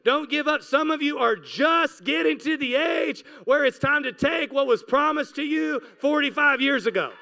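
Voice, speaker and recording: High-pitched man preaching a sermon. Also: animated, moderate pace, gravelly, hardly any pauses, bright, forceful tone. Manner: exhorting, repetitive urging. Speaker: pastor. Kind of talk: impassioned preaching sermon with scripture reading. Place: church stage, indoors. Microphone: handheld microphone held close.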